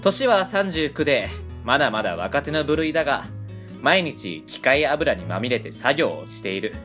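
A woman playing an easygoing 39-year-old male factory owner with a Kansai accent, a low folksy voice, narrating and chatting in an amateur web radio drama. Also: big pitch swings, normal speed, fairly bright tone, soft background music, full character voice. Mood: reflective. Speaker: narrator and lead character.

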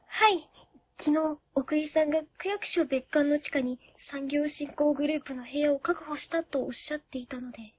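A shy young female office worker, acted by a woman in a comic radio play. Her high voice is quiet and stammering, apologetic, in a dry close sound. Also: normal speed, dry recording, lightly acted. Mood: timid, apologetic. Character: timid, apologetic soft-spoken female junior office worker. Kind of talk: comedic web radio drama acting.

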